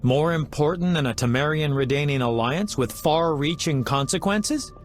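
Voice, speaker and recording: An actor playing a self-important bard in a video game, speaking in a theatrical, wordy and courtly manner. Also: male voice, on the high side, normal speed, slight background noise, natural voice. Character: dramatic, flowery, self-important male bard.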